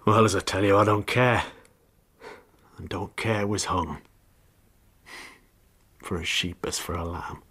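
A man's voice: low-pitched, fairly even intonation, unhurried, long pauses, fairly bright tone, breathy edge, full character voice. An actor in a radio drama playing a bitter, jealous, hard-drinking husband confessing to murder, brooding as he tells it. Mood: bitter confession. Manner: brooding, confessional storytelling that breaks into confrontation.

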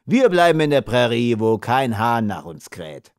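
Man acting a rough, bullying prairie smuggler. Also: medium pitch, big pitch swings, unhurried, no echo, studio quality. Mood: mocking. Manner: blunt, taunting, threatening. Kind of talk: audiobook character acting.

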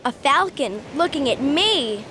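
A woman reading scripted rhyming riddles for a children's game, with deliberate, sing-song enunciation. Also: high voice, expressive, background noise. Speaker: game narrator.

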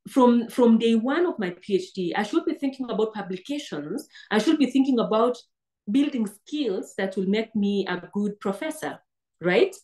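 A woman's voice: a little high, animated, moderate pace, darkish tone. A woman in her thirties with a Kenyan accent, a guest talking earnestly over a video call and often restarting words. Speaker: guest, PhD graduate. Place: indoor room, seated on sofa. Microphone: laptop webcam on video call.

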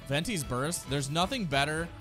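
Animated American man, streaming over music. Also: a little high, normal speed. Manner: enthusiastic, slangy. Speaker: streamer reacting to game character trailers. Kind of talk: casual reaction commentary. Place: small indoor streaming room. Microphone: close desk microphone. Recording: game music behind the voice. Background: music from game trailers.

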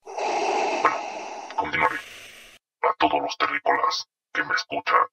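A man's voice: little pitch movement, measured pace, gravelly, very noisy. A young man hosting his channel, joking and rambling while demoing a voice changer. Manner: joking, rambling, silly insults. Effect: deep voice changer. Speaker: channel host. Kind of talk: playful voice-changer demo.

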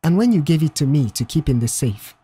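A man voicing a reserved young male mechanic in an audiobook, asking measured, probing questions. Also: on the high side, lively intonation, mellow, slightly rough. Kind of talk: audiobook character dialogue.

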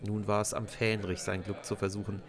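A man's voice: fairly low pitch, flat delivery, a little fast, heavy background noise. A man narrating a scripted children's story as a storyteller.

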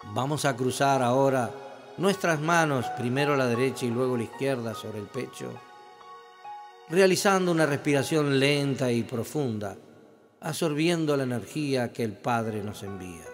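Male meditation leader, solemn and measured invocation, long hall echo, music underneath. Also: mid-range voice, expressive. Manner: solemn, deliberate, instructing in short measured phrases.

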